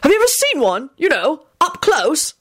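A woman voicing an excitable, easily delighted middle-aged wizard father in a storybook reading. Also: low voice, unhurried, no room sound, clean studio sound, heavily acted.

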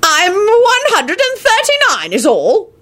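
A woman's voice: very high-pitched, huge pitch swings, slow, bright, forceful tone, voice pushed up, heavily acted. A woman voicing a haughty, scolding, very old male wizard grandfather in a storybook reading, with pompous old-fashioned phrasing.

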